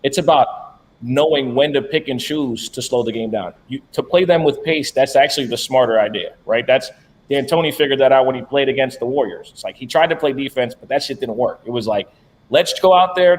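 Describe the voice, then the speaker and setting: A man's voice: mid-range voice, brisk, low-quality recording. A man co-hosting a sports betting podcast, talking bluntly and with profanity in casual chat. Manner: blunt, profane, animated about basketball. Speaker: podcast co-host.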